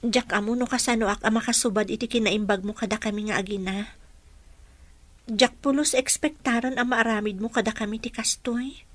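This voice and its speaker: A woman's voice: a little high, fairly even intonation, brisk, dry recording, lightly acted. An actress with a Filipino accent playing an earnest, devoted young single mother in a radio drama. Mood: grateful.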